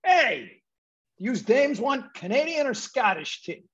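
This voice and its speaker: A woman playing an uncouth, cocky male bootlegger's henchman with husky, slangy, ungrammatical speech in a period comedy. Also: a little high, very animated, takes it slowly, lo-fi sound, exaggerated voice. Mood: uncouth, eager.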